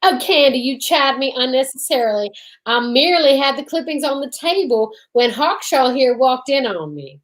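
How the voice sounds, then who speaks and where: A woman playing a florid, flattering bohemian friend in an old-time radio detective drama. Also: sits high, normal speed. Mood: effusive, airy. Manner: florid, airy.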